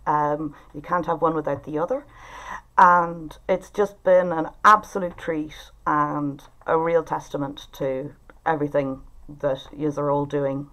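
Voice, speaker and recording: Woman, Irish accent, low-pitched, informal group host, video call. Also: fairly even intonation, unhurried, a bit bright. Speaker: writers' group facilitator and event host. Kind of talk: informal hosting of an online group discussion. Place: indoors at home.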